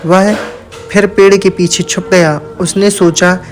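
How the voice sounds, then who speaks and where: A man with a high voice narrates a moral story from a script. His delivery stays level, with little rise and fall in pitch. Music plays along with the narration. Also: fairly even intonation, unhurried.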